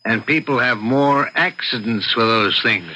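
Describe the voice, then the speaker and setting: An actor playing a folksy small-town sheriff in an old-time radio mystery, asking questions in a colloquial, offhand way. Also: male voice, medium pitch, measured pace, lightly acted. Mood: casual, probing. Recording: dull, slightly noisy radio-drama recording.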